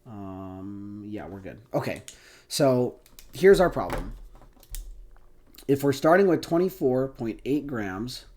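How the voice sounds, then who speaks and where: A man with a stuffy, congested voice talks students through a chemistry lesson, his pitch sitting right in the middle. He keeps it casual and conversational, pausing to check in with an easy "okay?" along the way. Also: big pitch swings, warm tone. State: congested from a cold. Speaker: chemistry teacher. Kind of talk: recorded classroom lecture.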